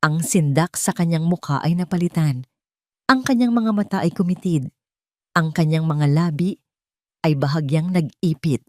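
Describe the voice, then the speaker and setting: A woman's voice: sits low, moderate pace, a little mellow, no room sound, clean studio sound. A woman reading a scripted romantic drama as a storyteller, with dramatic narration.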